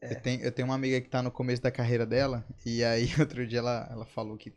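A man's voice: mid-range voice, level tone, fast, a little mellow. A man interviewing the singers, talking in a laid-back, slangy way and telling his own stories. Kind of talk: casual interview chat.

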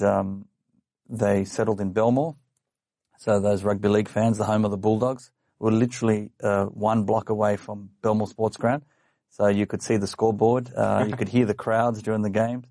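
A man, a young adult podcast guest, telling his life story in a relaxed way with lots of fillers and small laughs. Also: on the low side, normal speed, no echo, clean studio sound. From Australia. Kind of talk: casual interview chat. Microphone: studio microphone.

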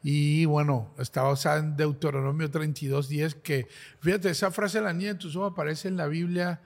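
A Venezuelan man with a Venezuelan accent, a singer-songwriter guest explaining things in a friendly, warm way. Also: on the high side, fairly even intonation, brisk, vocal fry, long reverb tail. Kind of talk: casual interview.

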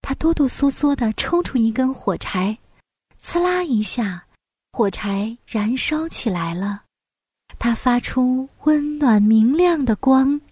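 A woman with a fairly high voice narrates a fairy tale for young children. Her storytelling is expressive and scripted, and the recording is close and dry. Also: slow pace, breathy edge, no room sound.

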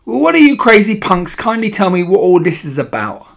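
A man voicing a greedy, spoiled young boy in an audiobook, loud, brash and demanding. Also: sits high, animated, normal speed, voice pushed up. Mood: indignant, demanding. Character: greedy, rude, bossy spoiled rich boy. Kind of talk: audiobook character voice.

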